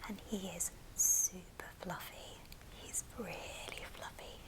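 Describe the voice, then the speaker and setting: A woman whispering softly and breathily in a gentle, affectionate ASMR show and tell. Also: fairly low pitch, steady tone, takes it slowly. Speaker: ASMR video host.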